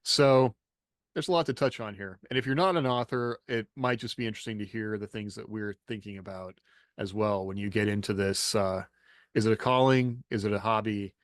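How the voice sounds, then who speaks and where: A man's voice: on the low side, steady tone, fairly quick, no echo, studio quality. A man hosting a casual panel discussion on a podcast. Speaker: podcast host.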